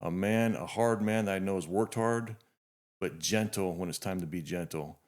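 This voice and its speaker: Deep-voiced man in his fifties hosting. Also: normal speed, a little mellow. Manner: earnest, reflective, frequent restarts. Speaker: podcast host. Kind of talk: heartfelt interview introduction.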